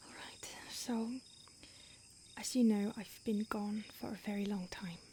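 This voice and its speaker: A woman's voice: mid-range voice, fairly even intonation, unhurried, warm tone, slight background noise, natural voice. A woman voicing an ancient female vampire on the run, speaking in an urgent whisper in an audio roleplay. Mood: hushed urgent.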